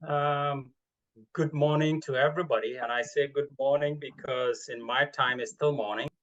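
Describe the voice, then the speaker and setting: A man's voice: mid-range voice, fairly even intonation, moderate pace, a bit bright, lo-fi sound. A man chairing an award session over a video call, speaking formally and politely with frequent ums and uhs. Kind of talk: formal welcome and introduction.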